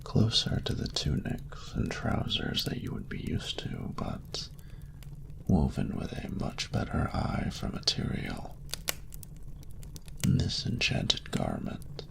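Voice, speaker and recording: An ancient-sounding, courtly dragon with heavy gravel and fry in his voice. He is proud and flirtatious, half-whispering close and intimately as in a romantic audio drama. His grand, formal phrases swing widely in pitch. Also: a bit bright, heavily acted. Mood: smooth, proud. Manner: formal, grandiose, unhurried wording. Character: flirtatious, proud male dragon hoarding treasures.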